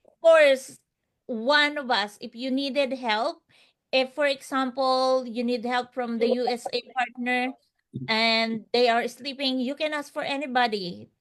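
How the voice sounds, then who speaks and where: A woman with a fairly high voice speaks as a guest promoting an online business. English is not her first language, and she talks off the cuff in an earnest, motivational way, circling back over phrases for emphasis. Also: steady tone, a little slow, fairly bright tone. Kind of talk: unscripted motivational testimonial.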